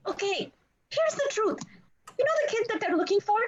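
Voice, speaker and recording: Woman voicing a very high-pitched pleading little monster guy. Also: animated, brisk, muffled recording, background noise. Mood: frantic. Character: earnest, fast-talking little male monster sidekick Mike. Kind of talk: cast table read of a film script.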